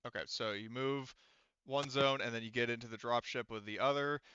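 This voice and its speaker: A man's voice: medium pitch, steady tone, brisk, bright, forceful tone, clear, resonant tone, no room sound, dull audio. A man acting as game master, narrating a tabletop roleplaying game in an informal, casual way. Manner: informal, occasional swearing.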